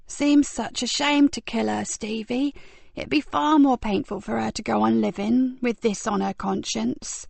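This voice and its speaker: A woman voicing a cold, mocking female criminal who speaks slowly and with a sneer. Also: fairly high pitch, fairly even intonation, vocal fry, darkish tone, dry recording, exaggerated voice. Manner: slow, sneering.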